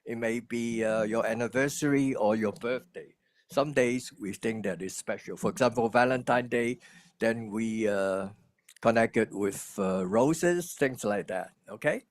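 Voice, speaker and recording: A man's voice: on the low side, a little slow. A man giving an informal sermon in a conversational way, filling his talk with little asides. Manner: conversational, many "you know" fillers. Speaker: preacher.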